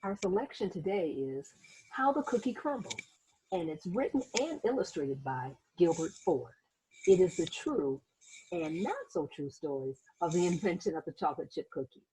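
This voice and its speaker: A woman reading a children's picture book aloud as host, in an expressive storytelling manner with little asides to young listeners. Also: lowish voice, normal speed, slight background noise, rough recording.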